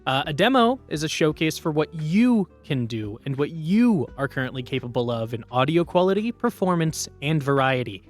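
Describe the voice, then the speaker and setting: A man's voice: fairly high pitch, big pitch swings, normal speed, hardly any pauses, faint music behind. A man presenting an instructional workshop in an informal, emphatic, conversational way.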